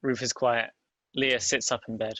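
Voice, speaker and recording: Male narrator, very bright crisp-edged tone, even-keeled range, dry close sound, scripted stage directions. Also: medium pitch, normal speed, no echo. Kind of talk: scripted narration at a table read.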